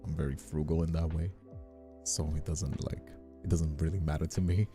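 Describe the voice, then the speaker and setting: A man's voice: a bass voice, fairly even intonation, moderate pace, over music. A man chatting casually as a streamer, hesitant and often repeating his words as he goes. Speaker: streamer, host. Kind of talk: casual live stream chat.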